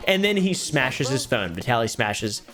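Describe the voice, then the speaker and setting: A man's voice: medium pitch, very animated, moderate pace, pushed and bright. Sarcastic young man with faint music. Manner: dry, sarcastic, swears freely. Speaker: commentary YouTuber. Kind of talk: sarcastic commentary on streamer drama.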